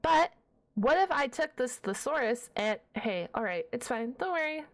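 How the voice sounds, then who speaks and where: A woman's voice: high voice, very animated, normal speed. A woman playing a shy, nerdy half-dragon librarian in an ASMR roleplay, stammering and wordy, awkwardly defensive and a stickler for rules. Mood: flustered, defensive. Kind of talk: ASMR roleplay audio drama.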